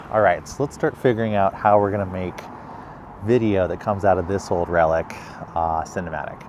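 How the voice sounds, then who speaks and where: A man's voice: deep voice, fairly even intonation, moderate pace, noisy background. A man speaking as a video creator, presenting in a vlog style.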